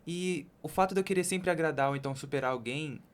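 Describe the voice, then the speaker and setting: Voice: mid-range voice, quick pace, clean tone. A person with a Brazilian accent chatting informally on a podcast. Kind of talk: casual podcast conversation.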